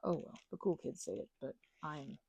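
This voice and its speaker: Husky, creaky-voiced woman rambling casually. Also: sits low, normal speed, a little mellow. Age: 30s. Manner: emphatic, playful slangy rambling with quoted asides. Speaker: ASMR channel host. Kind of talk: casual rambling ASMR monologue.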